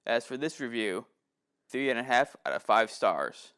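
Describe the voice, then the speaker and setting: A man's voice: medium pitch, very animated, moderate pace, fairly bright tone, clean tone. A man reading a scripted review as the host, in the manner of prepared narration.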